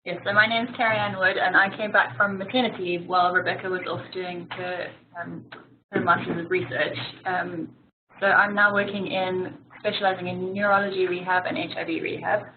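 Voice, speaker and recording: A woman with a gentle South African accent, a physiotherapist giving a friendly, explanatory webinar presentation with frequent ums. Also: lowish voice, level tone, fairly quick. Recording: tinny phone-line audio with clear hiss.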